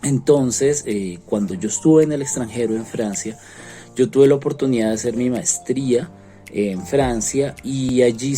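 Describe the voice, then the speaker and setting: Earnest Colombian man, mellow voice. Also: medium pitch. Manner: earnest, frequent hesitation fillers. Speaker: French teacher, interviewed expert. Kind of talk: explanatory monologue to students.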